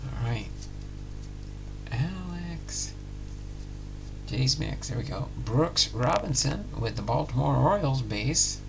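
A middle-aged man calmly calling out each trading card as he opens packs at home. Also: mid-range voice, vocal fry, background noise. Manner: unhurried, announcing each card plainly. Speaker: card-breaking channel host. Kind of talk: casual pack-opening commentary. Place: home room with card displays.

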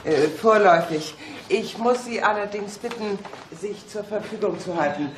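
Radio drama detective, female actor: courteous, official, roomy sound with loud noise behind. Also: medium pitch, normal speed, close to an everyday voice. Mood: businesslike, distracted. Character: polite, businesslike female police detective. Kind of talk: German radio play acting. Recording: echoey, loud background noise.

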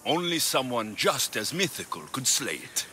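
Deadpan mercenary warrior, lively intonation. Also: male voice, medium pitch, moderate pace, a little hiss or hum. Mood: dry. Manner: deadpan, exasperated. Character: gruff, dry-witted Greek mercenary warrior man. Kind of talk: video game cutscene acting.

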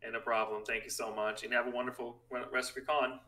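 A man with a very bright, mid-pitched voice hosts a panel Q&A. He speaks casually as moderator, and his voice carries a noticeable room echo. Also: flat delivery, quick pace. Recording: echoey room.

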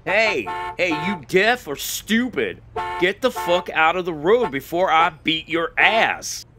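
A man playing a hot-tempered, foul-mouthed motorist in a motion comic, aggressive and loud. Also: fairly high pitch, lively intonation, slow, noisy background. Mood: furious.

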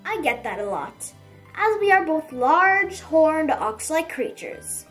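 A woman voicing an excitable, dramatic young male blue bison in a children's storybook, with emphatic, indignant delivery. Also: high voice, slow, faint music behind, full character voice. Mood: earnest, explaining.

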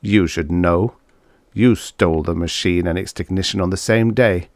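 A man's voice: deep voice, moderate pace, a little mellow, no echo, studio quality, lightly acted. A man playing a bitter, sardonic American scientist in a story, with a blunt, wry, emphatic manner. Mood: grim, accusing.

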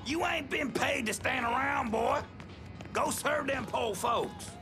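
An actor playing a bossy, irritable Southern American kitchen manager who barks scolding orders in a video game. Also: male voice, extremely high pitch, slow pace, music in the background, heavily acted.

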